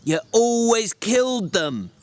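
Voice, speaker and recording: A man's voice: very high-pitched, big pitch swings, takes it slowly, darkish tone, pitched above the performer's own voice, full character voice. A man voicing an irritable, bossy but protective working man, brusque and scolding, in a dramatic audiobook reading. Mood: exasperated.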